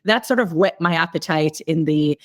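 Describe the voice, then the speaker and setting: A woman's voice: deep voice, moderate pace, no room sound, clean studio sound. A woman from Jamaica, a managing director, recounting her career path in an interview. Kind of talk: podcast interview.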